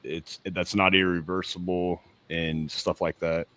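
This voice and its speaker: A man's voice: low voice, level tone, a little slow, fairly bright tone. A male podcast host asking rambling questions that are full of fillers. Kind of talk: interview questions.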